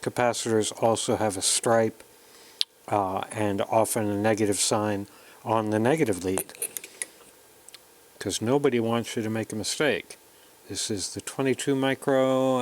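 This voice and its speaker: A man's voice: fairly low pitch, fairly even intonation, a little slow, slight background noise. A man narrating a casual hands-on electronics tutorial, plainly explaining each step as he works. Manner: plain, explanatory, talking through each step while working. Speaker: host of an electronics kit build tutorial.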